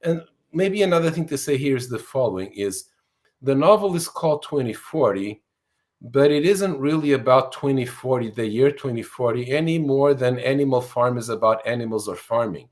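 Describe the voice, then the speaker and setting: A man's voice: medium pitch, expressive, normal speed, darkish tone. Earnest man from Portugal explaining ideas. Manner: earnest, explanatory, fluent with some restarts. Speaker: author and guest. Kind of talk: interview answers.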